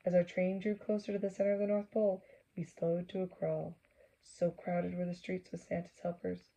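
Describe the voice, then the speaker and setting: Husky woman reading a children's storybook. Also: fairly low pitch, moderate pace. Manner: animated, acts out exclamations, asks listeners questions. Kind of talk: expressive read-aloud with asides to young listeners.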